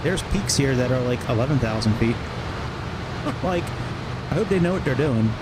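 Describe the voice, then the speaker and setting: A man's voice: medium pitch, moderate pace. A man casually commentating a live flight sim stream, muttering to himself informally as he works. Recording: loud aircraft engine drone behind voice. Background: steady simulated jet cockpit noise.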